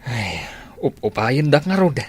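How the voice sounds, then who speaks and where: A man's voice: mid-range voice, big pitch swings, unhurried, breathy edge. A man playing an earnest, meek middle-aged bachelor in a radio drama. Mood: sheepish.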